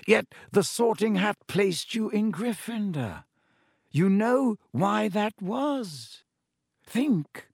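A man voicing a calm, kindly old male headmaster wizard, speaking slowly and gently in an audiobook. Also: high-pitched, vocal fry, slightly rough, no room sound, clean studio sound, voice pushed up, heavily acted. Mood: calm, wise. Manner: slow, gentle, measured speech. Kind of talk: audiobook character voice.

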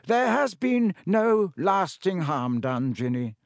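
Guy doing a gentle old wizard headmaster, lilting and lively, dry close sound. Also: high voice, no echo, voice pushed up. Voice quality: gentle, measured, twinkling. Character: old male wizard headmaster, kindly and wise.

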